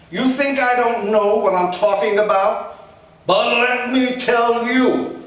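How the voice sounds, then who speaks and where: A man's voice: high voice, pitched above the performer's own voice, heavily acted. A man voicing an angry, belittling middle-aged drunk father, ranting and sarcastic, heard in an echoey room from far off.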